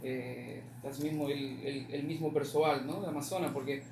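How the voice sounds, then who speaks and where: Guy interviewing, mixing languages, halting, echoey and a bit far from the mic. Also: medium pitch, fairly even intonation. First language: Spanish. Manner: halting, mixes Spanish with Portuguese. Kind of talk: casual interview questions. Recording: echoey, noisy room, distant from mic.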